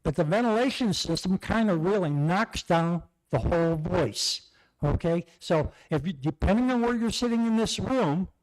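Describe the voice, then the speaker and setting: A man's voice: high-pitched, lively intonation, moderate pace, low-quality recording. A man speaking as a public commenter at a town board meeting, rambling and tagging his points with "okay". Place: town hall meeting room. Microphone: podium microphone.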